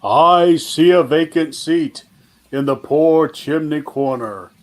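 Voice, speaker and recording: Man playing a slow, solemn Christmas ghost. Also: fairly high pitch. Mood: grave, foreboding. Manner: grave, deliberate, declaiming. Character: solemn, stately Christmas ghost guiding an old miser. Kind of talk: amateur radio play reading.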